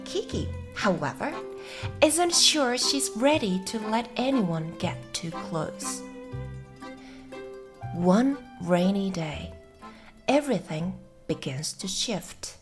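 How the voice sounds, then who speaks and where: A woman who is a native Japanese speaker, softly and carefully reading a story aloud in a slow, measured way for English learners. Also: mid-range voice, big pitch swings. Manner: soft, measured, careful phrasing. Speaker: English teacher and channel host. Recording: music behind the voice. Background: background music.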